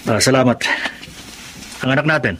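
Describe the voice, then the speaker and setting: An actor playing a polite, dutiful driver who turns defensive in a radio drama. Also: male voice, mid-range voice, normal speed, a bit bright, slight background noise, lightly acted. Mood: reassuring. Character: dutiful adopted son, tired bus driver.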